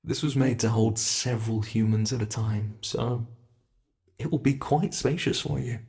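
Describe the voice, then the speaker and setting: A man's voice: mid-range voice, fairly even intonation, moderate pace, creaky, a little mellow, natural voice. A man voicing an ancient monster in an ASMR roleplay, a smug and possessive captor who talks in a theatrical, teasing, formal way. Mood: gloating, playful. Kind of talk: ASMR roleplay, scripted monologue.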